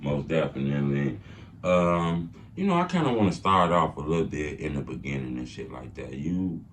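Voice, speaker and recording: A man's voice: extremely low pitch, big pitch swings, moderate pace, a bit bright, a little hiss or hum. A man hosting a casual street interview, asking laid-back, slangy questions and giving short affirmations.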